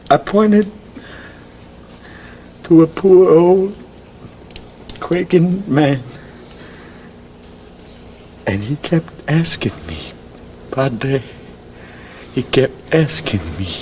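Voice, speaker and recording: An actor playing a guilt-ridden townsman in a halting, theatrical, anguished confession from a vintage drama. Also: fairly high pitch. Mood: haunted, pleading. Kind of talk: 1950s television drama acting. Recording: noisy vintage broadcast drama audio.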